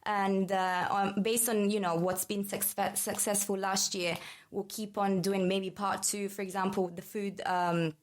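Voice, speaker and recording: A woman's voice: mid-range voice, level tone, a little slow. A woman in her twenties from Italy with an Italian accent, chatting casually on a podcast as a college marketing manager, friendly and full of fillers. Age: 20s.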